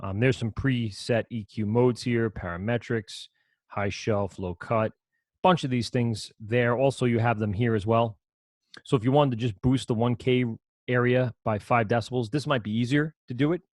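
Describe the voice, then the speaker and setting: Casting: man, low voice, casual rambling teacher walking through software, dry close sound. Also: steady tone, normal speed, no room sound. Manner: casual, rambling, self-correcting explanations. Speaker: audio production teacher. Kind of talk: screen-share software lesson.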